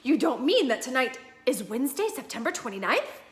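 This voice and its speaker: A woman's voice: sits high, very animated, fairly quick, echoey room, voice pushed up. A woman reading aloud, voicing a cheerful, dreamy man who talks eagerly. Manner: eager, enthusiastic.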